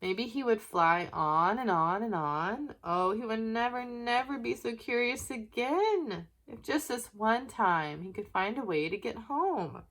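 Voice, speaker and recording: A woman narrating a children's picture book in an expressive way, with question-asking asides to young listeners. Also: fairly high pitch, a little slow, few pauses. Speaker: children's story reader.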